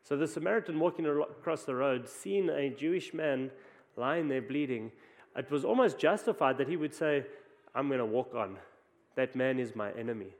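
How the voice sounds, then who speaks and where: A man's voice: medium pitch, lively intonation, moderate pace. A man with a South African accent, preaching a conversational sermon with frequent restarts. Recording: long hall reverb.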